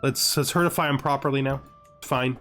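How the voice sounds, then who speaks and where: A man's voice: mid-range voice, steady tone, a little fast, fairly bright tone. A man giving thinking-aloud gameplay commentary, deliberating, repeating himself and often checking with a questioning tag. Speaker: gaming host playing a strategy game. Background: music.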